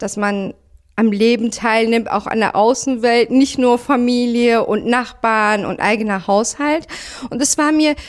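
Earnest woman in her thirties, brightish voice. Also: a little high. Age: 30s. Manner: earnest, thoughtful. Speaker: production worker and mother. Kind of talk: interview answers.

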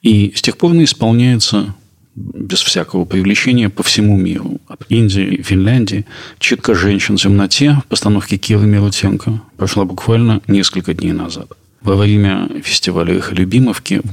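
Low, gravelly man hosting a podcast. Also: fairly quick. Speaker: podcast host. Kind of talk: presenting a culture podcast, narration.

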